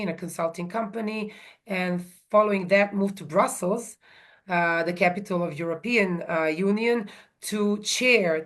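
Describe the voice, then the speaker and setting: Woman in her forties, from Serbia, somewhat low voice, video call from home, earnest, frequent ums. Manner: earnest, with many ums and restarts. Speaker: guest expert. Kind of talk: remote interview answers.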